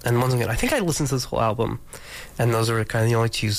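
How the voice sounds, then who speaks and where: Congested male DJ, gravelly, rambling casual studio patter. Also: medium pitch, very fast, slight background noise. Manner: rambling, many ums and restarts. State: congested from allergies. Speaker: radio DJ. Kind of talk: casual radio chat between songs. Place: radio studio.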